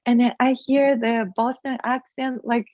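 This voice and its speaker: A woman with a Japanese accent, a guest in a casual interview, speaking haltingly and searching for her English words. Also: a little high, flat delivery, no echo. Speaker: guest, acro yogi. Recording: tinny phone-like line.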